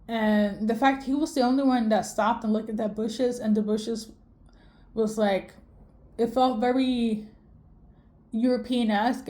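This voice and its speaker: A young woman from Sweden delivers a rambling spiritual reading, full of fillers, restarts and self-corrections. Her voice sits a little high, with a soft, mellow tone. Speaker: tarot reader.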